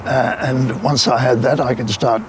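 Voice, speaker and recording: An older man, a composer and former opera baritone, speaking with a scratchy voice in an interview, often hesitant with fillers. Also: steady tone, normal speed. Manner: hesitant, with many fillers and repeats.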